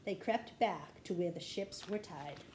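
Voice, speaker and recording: A woman reading a children's chapter book aloud in an expressive storytelling style. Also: fairly low pitch, a little slow. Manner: animated storytelling with acted dialogue. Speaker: teacher reading aloud to kindergarteners. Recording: roomy echo with light background noise.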